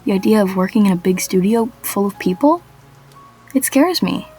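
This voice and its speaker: A woman's voice: medium pitch, expressive, normal speed, dark tone, soft background music, natural voice, low-quality recording. A woman playing an anxious, guarded young shop worker, narrating a radio drama in a wry, confessional way. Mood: hesitant, awkward.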